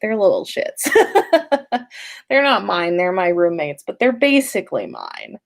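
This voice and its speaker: A woman hosting a story livestream in an informal, playful and expressive way. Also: medium pitch, unhurried, vocal fry. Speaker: podcast host and story reader. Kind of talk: livestream story reading and casual chat.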